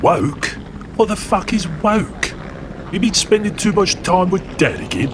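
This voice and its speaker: A man's voice: high voice, a little slow, voice pushed up. A man voicing a brash, laddish demigod warrior who banters bluntly and crudely. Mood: incredulous. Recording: steady background noise.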